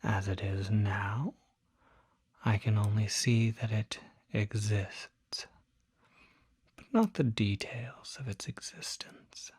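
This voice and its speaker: A performer voicing a calm, courteous demon doctor in an ASMR roleplay, whispering softly with a measured, reassuring, formal bedside manner. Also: mid-range voice, dry recording, full character voice. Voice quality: breathy, whispery.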